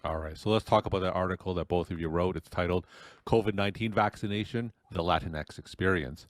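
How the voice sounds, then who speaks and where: A man's voice: low-pitched, brisk, no room sound. A man hosting a podcast, reading a prepared intro and putting questions to guests. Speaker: podcast host. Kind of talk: scripted intro and interview questions.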